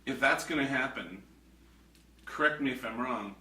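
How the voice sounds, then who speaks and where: A man hosting an interview, asking brisk, structured questions. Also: medium pitch, pushed and bright. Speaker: interviewer, host. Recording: echoey room, distant mic.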